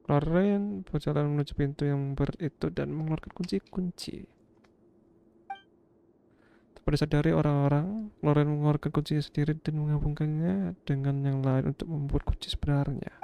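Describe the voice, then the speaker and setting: A man from Indonesia giving casual, relaxed gameplay commentary and reading game text aloud with murmured asides. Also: fairly high pitch, vocal fry. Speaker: gaming video host.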